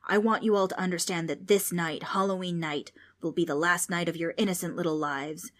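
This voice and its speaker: Old ghost, irritable and grandly spooky, read by a woman narrator; low-set, mock-menacing storybook delivery. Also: steady tone, a little fast, a bit bright, lightly acted. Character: grumpy old male ghost, pompous and theatrical.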